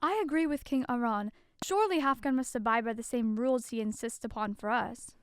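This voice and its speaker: A woman acting in an audio drama as a gentle, helpful fairy noblewoman with a polite, formal manner. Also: a little high, a little fast, clean tone, no echo, studio quality, natural voice. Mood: calm, helpful.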